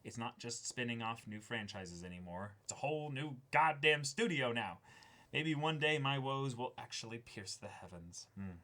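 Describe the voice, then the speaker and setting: A young man co-hosting a show, chatting casually and reading aloud with halting, thoughtful phrasing. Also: medium pitch, animated, sharp tone. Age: young adult. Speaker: co-host of an unboxing show. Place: small indoor room.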